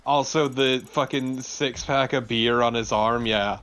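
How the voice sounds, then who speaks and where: Male co-commentator, mid-pitched, casual and foul-mouthed gaming banter, noticeably noisy recording. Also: fairly even intonation, a little slow, fairly bright tone. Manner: casually sweary. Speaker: let's play co-commentator.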